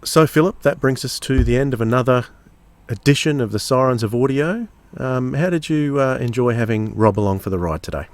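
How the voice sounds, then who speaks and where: A man hosting a podcast in a casual, measured way, pausing mid-phrase. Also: medium pitch, lively intonation, dry recording. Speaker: podcast host.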